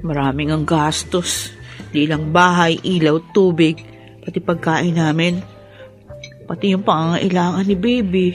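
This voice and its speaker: A woman's voice: on the low side, steady tone, measured pace, faint music behind, close to an everyday voice. An actress playing a long-suffering, pleading young working mother in a radio drama, with a Filipino accent. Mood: meek, defensive.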